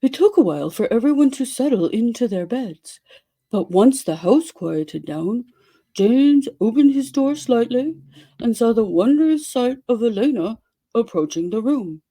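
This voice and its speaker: Slow, high-voiced woman reading dramatically. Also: animated, dark tone. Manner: slow, exaggerated delivery with dramatic pauses. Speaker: dramatic reader. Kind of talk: theatrical dramatic reading of a story.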